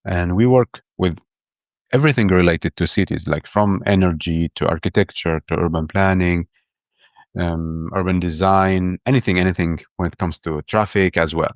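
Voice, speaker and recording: Deep-voiced guy in his 30s, eager, keeps restarting, dry close sound. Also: normal speed, no room sound. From Iraq. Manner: enthusiastic, many restarts and repeated words. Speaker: podcast guest, urban planner. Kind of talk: casual interview talk.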